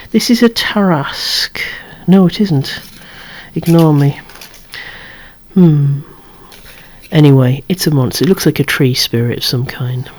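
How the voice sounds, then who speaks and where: A person in a soft, breathy whisper, rambling hesitantly and correcting themselves while showing off a collection in ASMR style. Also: medium pitch. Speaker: collector presenting a vintage toy collection. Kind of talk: whispered ASMR show-and-tell.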